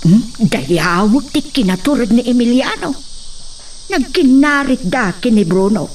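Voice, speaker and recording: A woman playing a gossipy, excitable older housemaid in a radio drama. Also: fairly high pitch, unhurried, recorded from a distance, slight background noise. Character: gossipy older female servant Nana Barang.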